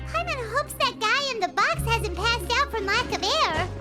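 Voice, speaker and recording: A woman voicing a tiny, bubbly, easily indignant fairy companion in a video game, with a squeaky childlike voice and a huffy manner. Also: animated, moderate pace, music in the background. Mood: chirpy. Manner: speaks of herself in third person, huffy. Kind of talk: video game character acting.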